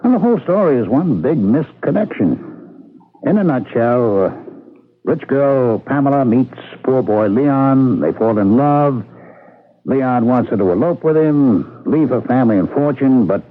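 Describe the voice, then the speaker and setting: A man's voice: medium pitch, animated, a little slow. A man acting an earnest, exasperated small-town mail carrier in a vintage radio mystery. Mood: wry storytelling. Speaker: radio drama actor. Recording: tinny, noisy old radio broadcast.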